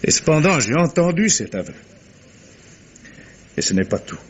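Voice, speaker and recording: A man acting an anguished, stubborn gentleman with solemn, declamatory delivery in a 1950s French radio mystery. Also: a little high, sing-song, normal speed. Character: anguished, stubborn wealthy gentleman confiding a dark secret. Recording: noisy vintage radio recording.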